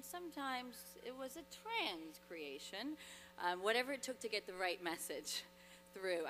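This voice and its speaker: A woman's voice: lowish voice, bright, forceful tone. A woman in her thirties gives an earnest, grateful award acceptance speech at a podium microphone on stage, with frequent fillers and restarts. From Dominican Republic. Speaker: award honoree. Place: large hall, on stage at a podium. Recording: long reverb in a large hall, faint hum.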